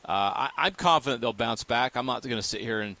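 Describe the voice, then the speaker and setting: Bright, forceful American sports talk man. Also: medium pitch, brisk, gravelly, no room sound. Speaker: sports radio co-host.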